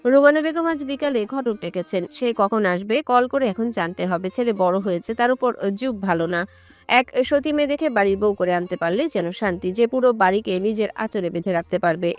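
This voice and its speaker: A woman's voice: mid-range voice, lively intonation, normal speed. A woman giving a scripted narration of a romantic story.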